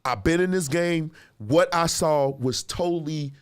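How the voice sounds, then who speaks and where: A man's voice: on the high side, unhurried, clean tone. A man who is a gospel musician and producer, hosting casual reaction commentary, chatty and repeating himself for emphasis. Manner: animated, chatty, laughs often; talks straight to viewers, repeats himself for emphasis. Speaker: host reacting to clips.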